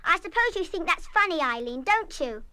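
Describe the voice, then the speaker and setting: A woman's voice: very high-pitched, very animated, normal speed, bright, forceful tone, dry recording, full character voice. A woman playing a headstrong, outspoken British schoolgirl in a radio play. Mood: defiant. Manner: emphatic, proper, outspoken. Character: headstrong, spoiled, defiant schoolgirl who can be kind. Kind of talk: full-cast radio play acting.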